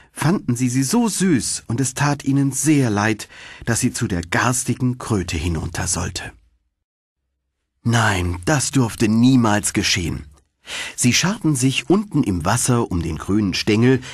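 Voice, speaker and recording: A male narrator reads a fairy tale in an expressive storytelling style. His voice is slightly low and husky, with very animated intonation. He tells it as a scripted audiobook. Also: no room sound, clean studio sound.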